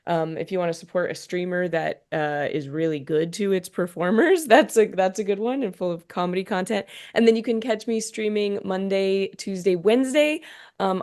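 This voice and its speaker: A woman's voice: mid-range voice, darkish tone. A woman with a mid-range voice introduces herself on a panel, rambling and giggly with many fillers. Her delivery is casual and loose. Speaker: panelist, comedian, streamer.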